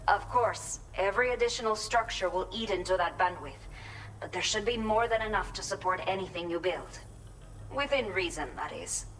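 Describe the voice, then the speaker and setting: A woman's voice: lowish voice, a bit bright, a little hiss or hum, lightly acted, poor audio. A woman playing a hopeful, caring settlement resident in a video game, explaining things earnestly. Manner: earnest, informative. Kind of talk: scripted game cutscene dialogue.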